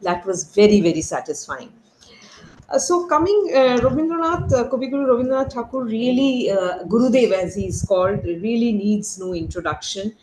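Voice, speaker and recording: A woman's voice: on the high side, lively intonation, moderate pace, darkish tone, echoey room, slight background noise, rough recording. A woman, a retired diplomat, presenting a seminar paper over a video call, hesitant with many fillers and restarts. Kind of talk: academic talk on a video call.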